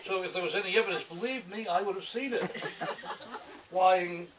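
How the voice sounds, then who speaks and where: A man's voice: high-pitched, normal speed, pitched above the performer's own voice, lightly acted. An elderly American man voicing a rattled, lecherous, self-justifying man in a noir comic-strip monologue, repetitive and defensive. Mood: agitated, defensive. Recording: distant camera mic, clearly noisy room.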